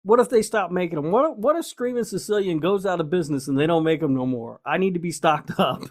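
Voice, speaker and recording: A man's voice: sits high, fast talker. A middle-aged man co-hosting a podcast, chatting casually. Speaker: podcast co-host. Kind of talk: casual chat.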